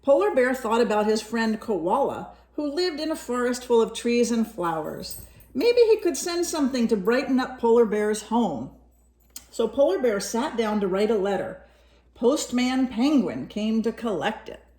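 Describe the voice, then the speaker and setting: A woman's voice: fairly high pitch, sing-song, moderate pace, dark tone. A woman teacher reading a storybook aloud to young children, expressively, with simple explanatory asides.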